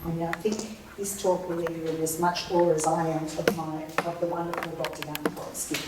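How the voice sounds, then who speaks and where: Low-voiced woman introducing a speaker. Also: flat delivery, a little fast. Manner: reads from notes, stumbles on a word. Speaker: event host introducing the speaker. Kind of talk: formal introduction. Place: lecture room. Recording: echoey hall, distant mic, faint noise.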